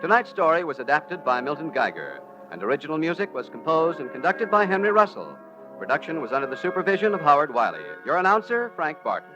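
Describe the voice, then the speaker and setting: Male radio announcer, formal crisp delivery, bright tone, lively pitch, faint music. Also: lo-fi sound.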